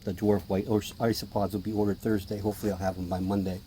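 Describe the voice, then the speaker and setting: A hoarse man with a mellow, slightly low voice talks casually while feeding his pets. His manner is chatty and offhand, and he sometimes drops to a near-whisper. A faint hiss sits under the recording. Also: flat delivery, fast. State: hoarse, clearing his throat. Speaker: tarantula keeper filming feedings. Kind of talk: casual hobby vlog narration.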